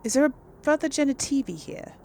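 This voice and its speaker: A woman's voice: sits high, animated, a little fast, a little mellow, a little hiss or hum. A woman giving casual game commentary, musing aloud as she plays and role-plays dialogue. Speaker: gamer, series host.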